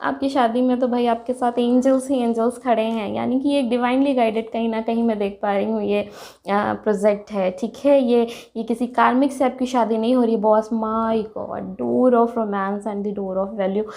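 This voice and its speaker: Woman, tarot reader, sore throat, throat clearing, chatty, excited, fairly high voice. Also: unhurried. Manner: chatty, excited exclamations, calls viewers friends. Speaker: tarot reader, channel host. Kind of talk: casual tarot reading to viewers.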